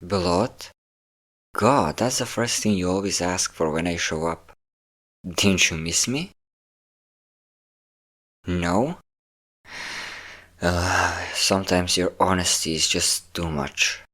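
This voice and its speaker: Guy doing a low voiced yandere vampire boyfriend, teasing n bossy, close intimate roleplay. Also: slow, long pauses, a bit bright, heavily acted. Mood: fond, teasing. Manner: teasing, commanding, breathy whispers at times. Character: possessive yandere male vampire, teasing, domineering lover. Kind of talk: intimate audio roleplay addressed to the listener.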